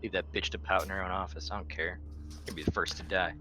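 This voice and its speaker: A man's voice: sits low, flat delivery, fast. A man playing a tabletop roleplaying game, improvising in character in a casual, blunt and assertive way. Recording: clear background noise.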